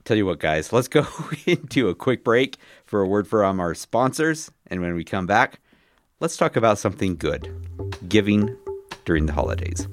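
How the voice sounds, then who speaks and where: A man with a bass voice and a cold coming on, hosting a casual podcast and talking with many hesitations and restarts. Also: sing-song, moderate pace, faint music behind. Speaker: podcast host.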